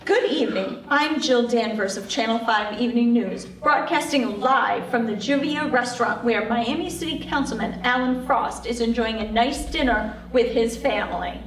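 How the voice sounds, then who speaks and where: A woman's voice: fairly high pitch, moderate pace, hardly any pauses, lightly acted. A woman playing a pushy, prissy television news reporter in her thirties at a table read. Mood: brisk, on-air. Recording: echoey room, distant mic, faint noise.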